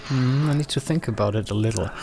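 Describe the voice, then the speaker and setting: A man's voice: mid-range voice, fast talker, gravelly, darkish tone, faint music behind, poor audio. A man from Germany casting a strategy game match in an easygoing way, with many fillers and agreeing murmurs. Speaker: caster. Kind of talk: esports play-by-play commentary.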